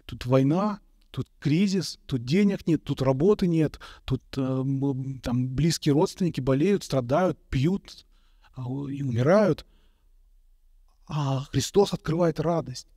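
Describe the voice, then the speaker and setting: A man in his thirties, an Orthodox monk from Kazakhstan, answering interview questions slowly and thoughtfully, with many pauses, into a studio mic. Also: medium pitch, dark tone, slightly rough, no room sound. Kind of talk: calm reflective interview answers. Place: bright indoor room, interview set. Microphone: studio mic on a boom in front of him.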